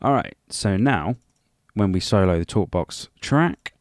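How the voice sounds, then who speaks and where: A man's voice: on the low side, expressive, unhurried, no echo, clean studio sound. A man giving an informal music production tutorial in a rambling, chatty and self-deprecating way. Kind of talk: informal software tutorial narration.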